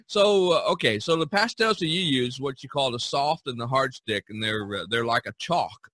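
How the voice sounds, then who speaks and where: A man's voice: medium pitch, normal speed, fairly bright tone, no room sound. A man hosting a podcast in a casual, chatty style. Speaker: podcast host.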